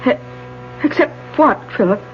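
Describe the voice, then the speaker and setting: An actress playing a distraught, desperate wife in a 1970s radio drama, pleading and faltering melodramatically. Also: female voice, high-pitched, expressive, normal speed. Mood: frantic pleading. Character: distraught, desperate wife who killed her husband. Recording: dull 1970s AM radio broadcast, faint music.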